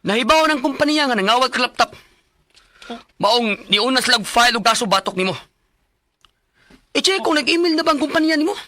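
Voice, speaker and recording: Mocking male manager, Cebuano accent, very piercing high voice, curt radio drama bullying. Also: expressive, fairly quick, long pauses, bright, forceful tone, heavily acted. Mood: threatening, gloating. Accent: Cebuano Filipino. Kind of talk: Bisaya radio drama acting.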